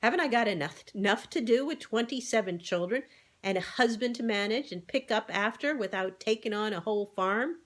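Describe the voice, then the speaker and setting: A woman's voice: fairly high pitch, brisk, voice pushed up, natural voice. Woman voicing a brusque, scolding storybook hen. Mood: exasperated. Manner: vigorous, no-nonsense. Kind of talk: animal storybook read-aloud.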